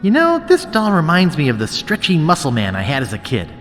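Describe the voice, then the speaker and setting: An actor playing a wimpy, wisecracking young male pirate adventurer in a video game, wry, earnest and excitable. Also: on the high side, brisk, music in the background. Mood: wry defiance. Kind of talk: video game character acting. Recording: long cavernous reverb.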